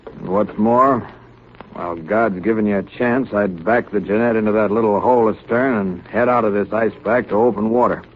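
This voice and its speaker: An actor playing a blunt, emphatic ship's officer in a 1930s radio sea drama. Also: male voice, on the low side, steady tone, normal speed, close to an everyday voice. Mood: blunt, insistent. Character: blunt, urgent ship's officer warning of ice. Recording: very noisy, muffled 1930s radio recording.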